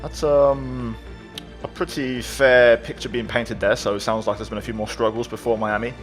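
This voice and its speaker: A man hosts a gaming video with casual commentary. His voice is mid-pitched. Soft music plays faintly underneath. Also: level tone, normal speed. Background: faint game music.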